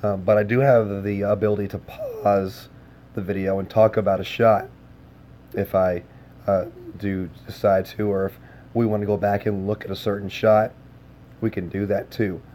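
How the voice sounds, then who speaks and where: A man with a hoarse, worn voice chatting casually with his livestream viewers, easygoing and full of filler words. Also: fairly low pitch, fairly even intonation, moderate pace, warm tone, a little hiss or hum. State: hoarse, lost voice. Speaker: game streamer.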